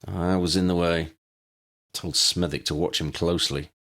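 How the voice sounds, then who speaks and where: Man playing a croaky, bitter young wizard. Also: deep voice, steady tone, moderate pace, fairly bright tone. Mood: weary. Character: bitter, exhausted young wizard, stubborn and blunt.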